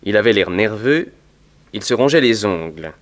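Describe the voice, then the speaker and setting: An earnest country vet played by a man in a 1960s French radio serial, with a low voice. He gives his account politely and deliberately, in careful order. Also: animated, close to an everyday voice. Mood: earnest, helpful. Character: earnest, polite male veterinarian giving witness testimony. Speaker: actor in a detective radio serial.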